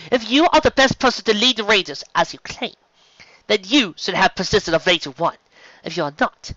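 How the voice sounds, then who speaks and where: A man's voice: high voice, sharp tone, dry recording, lo-fi sound, voice pushed up, full character voice. A man voicing a principled alien councillor who speaks in formal, earnest oratory, in an audiobook reading. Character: principled alien councillor urging trust and duty.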